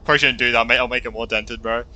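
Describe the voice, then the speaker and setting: A man's voice: mid-range voice, fairly even intonation, racing, pushed and bright. A young man giving casual live reaction commentary as a gaming host, with slangy, muttered asides.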